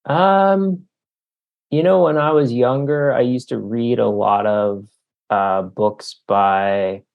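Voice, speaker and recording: A man speaking casually and reflectively as an interview guest, halting often as he searches for the right words. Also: medium pitch, creaky, muffled recording. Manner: halting, thoughtful, searching for words with long hesitations. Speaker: author being interviewed.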